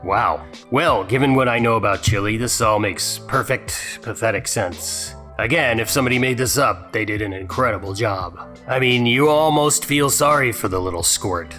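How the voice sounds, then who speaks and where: A man's voice: medium pitch, normal speed, hardly any pauses, sharp tone, faint music behind. A man hosting a dramatic reading, adding sarcastic, mocking commentary of his own. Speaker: host.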